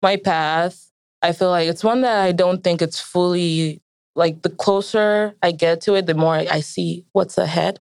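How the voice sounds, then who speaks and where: A young woman with a smooth, clear voice on the lower side and an American accent with traces of Nigerian. She speaks reflectively and with animation as an interviewee, in a close, dry recording. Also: fairly even intonation, unhurried, darkish tone, no room sound. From Nigeria and the United States. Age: young adult. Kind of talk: reflective personal storytelling in an interview.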